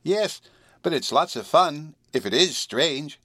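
A man's voice: a little high, lively intonation, takes it slowly, bright, forceful tone, breathy edge, dry recording, studio quality, pitched above the performer's own voice, exaggerated voice. A man voicing a small, self-assured pet kitten in a storybook, with a little teasing voice. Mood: carefree. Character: small, carefree, cheeky female pet kitten.

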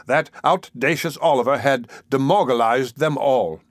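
A man's voice: medium pitch, moderate pace, no room sound, studio quality, close to an everyday voice. A man voicing a self-important, irritable parish beadle in a storybook reading, with a pompous, imperious manner. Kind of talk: audiobook character acting.

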